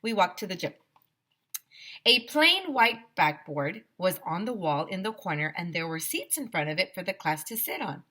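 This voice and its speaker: A woman teacher reading a children's story aloud in an expressive, animated narrating style. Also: on the low side, normal speed. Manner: animated, with sound effects.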